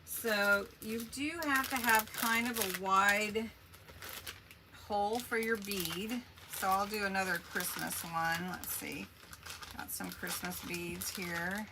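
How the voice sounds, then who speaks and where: A woman's voice: medium pitch, fairly even intonation, takes it slowly, background noise. A woman hosting a casual craft livestream, chatting along in a rambling way as she works and answers viewer comments.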